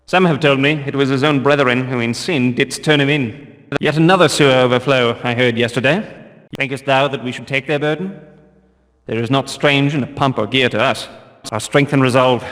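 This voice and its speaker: An actor playing a stern, zealous religious guard in a video game, declaiming pompously in archaic English. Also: male voice, mid-range voice, fairly bright tone, in a large hall, close to an everyday voice.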